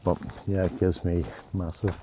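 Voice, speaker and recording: A man's voice: deep voice, level tone, moderate pace, noisy background. A man, rambling and hesitant with many restarts, talking to camera while walking outdoors. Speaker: travel vlogger. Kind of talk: rambling casual vlog. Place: outdoors in nature on a walk. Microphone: handheld camera while walking.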